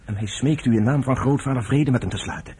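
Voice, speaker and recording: A man's voice: medium pitch, fast talker, darkish tone, slightly rough. An actor playing an easygoing, earnest young man, a son and brother, in a radio drama with informal, sincere delivery. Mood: earnest, concerned. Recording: noisy old radio play recording.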